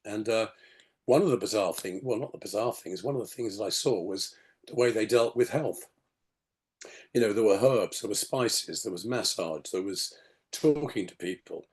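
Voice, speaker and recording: A man in his sixties with a gravelly, slightly hoarse voice, rambling through his story on a video call with many "you know"s. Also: lowish voice, normal speed, darkish tone. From England. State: hoarse after a detox. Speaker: podcast guest. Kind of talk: casual storytelling interview.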